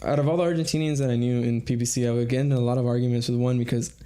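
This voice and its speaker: A young man from South Texas talks in a loose, rambling way, swearing casually as he co-hosts a podcast. His voice sits at an ordinary middle pitch. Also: fairly even intonation, very fast, dark tone. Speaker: podcast co-host. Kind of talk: casual chat.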